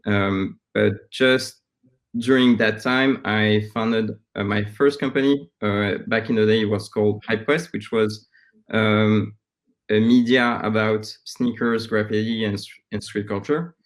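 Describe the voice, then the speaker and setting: French guy in his 30s, lowish voice, chatting in an interview, kinda halting with lots of pauses. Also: steady tone. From France. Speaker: startup founder, interview guest. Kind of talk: conversational interview answers.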